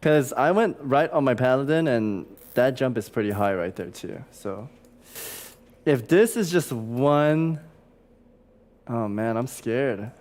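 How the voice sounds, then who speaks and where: Laid-back man in his twenties, lively voice. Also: mid-range voice, slow pace. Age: 20s. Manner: laid-back, laughs easily, talks through his gameplay. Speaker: co-host playing a game on stream. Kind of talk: casual live-stream banter.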